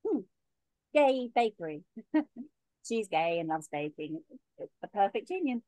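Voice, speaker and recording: A woman's voice: medium pitch, big pitch swings, measured pace, mellow, dry recording, rough recording. A woman reading the part of a nervous, giggly young woman who tells her parents about her engagement, in a read-through over a video call. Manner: hesitant, giggly.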